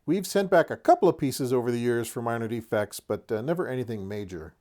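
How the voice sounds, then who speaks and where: Middle-aged male host, mid-pitched voice, folksy and wry, casual showcase delivery. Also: expressive, fairly quick, darkish tone. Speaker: host of a tabletop wargaming channel. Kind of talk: casual product showcase to camera.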